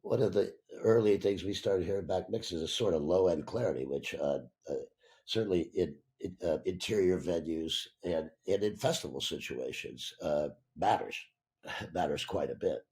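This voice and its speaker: Very low-voiced male moderator. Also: normal speed, darkish tone. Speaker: panel moderator, interviewer. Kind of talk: conversational interviewing.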